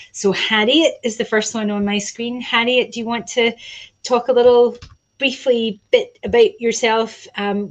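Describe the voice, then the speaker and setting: A woman hosting a live online panel as program convener, chatty, with many fillers, speaking over a video call. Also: mid-range voice.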